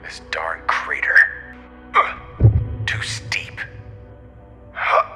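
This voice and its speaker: An actor playing a stranded, desperate male astronaut talking to himself, halting, strained and short of breath. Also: sharp tone, soft background music, exaggerated voice. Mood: strained, determined. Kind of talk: dramatic audio drama monologue.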